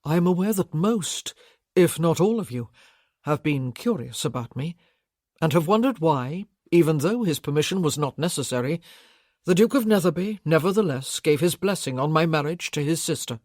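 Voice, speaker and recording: Composed young nobleman in a period audiobook, formal and measured, read by the narrator, dry close sound. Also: mid-range voice, lively intonation, darkish tone, dry recording, lightly acted. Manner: formal, measured, slightly raised. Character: composed aristocratic gentleman, young husband.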